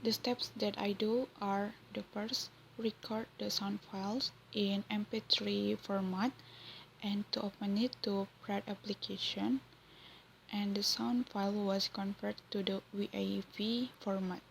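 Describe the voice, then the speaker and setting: A young woman from Indonesia with an Indonesian accent, reading a class presentation in English with a careful, even delivery. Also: mid-range voice, unhurried, creaky, slight background noise, poor audio. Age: young adult. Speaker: student presenter.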